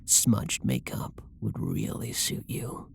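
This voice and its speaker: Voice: mid-range voice, slow, gravelly, a little hiss or hum. An actor voicing a flirty, possessive villain who menaces and teases a hostage in an ASMR roleplay, domineering and often dropping into whispers. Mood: teasing, commanding. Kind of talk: roleplay ASMR audio drama monologue.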